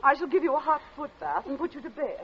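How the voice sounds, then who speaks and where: A woman's voice: sits high, fast talker, close to an everyday voice. An actress playing a fussy, genteel middle-aged lady of the house in an old radio drama, fluttery and prim. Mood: prim, mothering. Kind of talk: golden age radio drama acting. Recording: muffled old radio recording.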